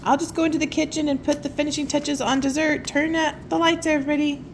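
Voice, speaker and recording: Woman playing a flustered, high-voiced storybook hostess. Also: level tone, quick pace, slight background noise. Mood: excited, proud. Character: flustered, bustling older woman, Santa's hostess wife. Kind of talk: children's storybook character voice.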